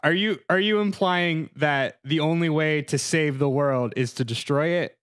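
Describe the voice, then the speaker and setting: A man's voice: on the high side, moderate pace, a bit bright, clear, resonant tone. Man hosting a playful panel chat. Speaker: podcast host. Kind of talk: casual panel discussion.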